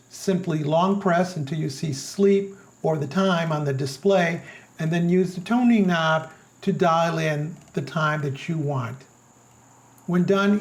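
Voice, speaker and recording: A man narrates a scripted product review. His voice sits high for a male speaker and has a clearly creaky, gravelly edge. He delivers it as a reviewer walking the listener through a product. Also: darkish tone.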